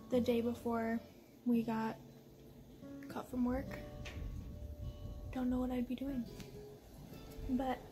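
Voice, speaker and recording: A young woman in her twenties chatting casually to the camera while lounging on her couch at home. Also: high-pitched. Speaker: vlogger. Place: living room couch indoors.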